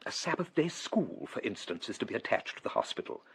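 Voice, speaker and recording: An actor playing a gentle, self-doubting elderly English clergyman in a period radio drama, husky and hesitant, musing courteously. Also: fairly low pitch, very animated, brisk, full character voice. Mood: troubled, confiding. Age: older.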